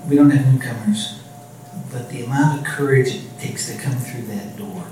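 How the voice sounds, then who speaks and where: Soft-spoken middle-aged man in an echoey room. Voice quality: low voice. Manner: soft-spoken, halting, self-deprecating humor. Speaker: speaker at a recovery meeting. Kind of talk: personal share to a meeting. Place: meeting room with an audience. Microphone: handheld microphone. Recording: echoey room, distant mic, faint music.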